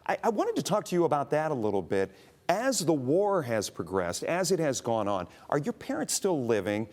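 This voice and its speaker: A male TV host with a lively, rising and falling pitch. He asks his questions conversationally, often restarting mid-sentence. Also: moderate pace. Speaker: TV interview host. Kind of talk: TV interview questions.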